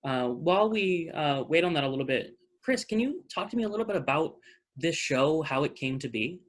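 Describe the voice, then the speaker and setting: A man's voice: on the high side, lively intonation, fairly quick. A man hosting a casual online panel, chatty and appreciative with his guests. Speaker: host of a talkback. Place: virtual meeting video call.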